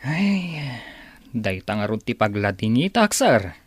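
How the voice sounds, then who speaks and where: A man's voice: fairly low pitch, sing-song, takes it slowly, husky, no room sound, heavily acted. An actor playing a deferential assistant reporting to his boss in an Ilocano radio drama. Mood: respectful.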